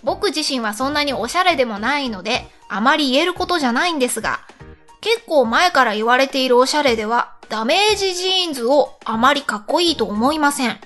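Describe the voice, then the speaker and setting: A woman's voice: high voice, animated, quick pace, a bit bright, faint music behind. A woman co-hosting a radio show in polite, giggly casual chat, reading out listener letters.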